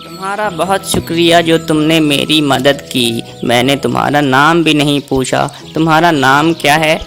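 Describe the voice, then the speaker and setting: A man's voice: a little high, moderate pace, creaky, fairly bright tone, faint music behind. A man playing a polite, thankful young boy in a children's cartoon tale, speaking in a friendly way. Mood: grateful, friendly.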